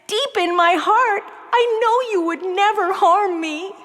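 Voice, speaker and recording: A woman's voice: very high-pitched, slow, fairly bright tone, exaggerated voice. A woman playing an emotional, brainy nine-year-old girl in a children's audio play, melodramatic and pleading. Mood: anxious, pleading. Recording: long reverb on the voice.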